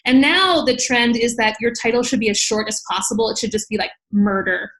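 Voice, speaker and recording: Female interview guest, professor type. Slightly high pitch, lively conversational delivery, ready to laugh. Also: a little fast, low-quality recording. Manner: animated, laughs readily. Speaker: podcast guest, law professor. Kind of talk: conversational interview, explaining her research.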